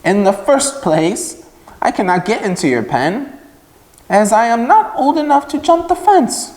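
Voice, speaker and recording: A man's voice: very high-pitched, big pitch swings, unhurried, darkish tone, voice pushed up, exaggerated voice. A man voicing a haughty young storybook lamb, curt and scornful. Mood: haughty, dismissive. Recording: echoey room with long reverb.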